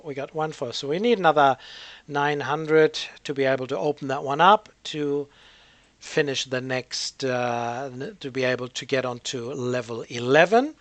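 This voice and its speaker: Higher-voiced man rambling through game commentary. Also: no room sound. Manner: hesitant, rambling, thinking aloud. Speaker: gaming channel host. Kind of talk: casual gameplay commentary.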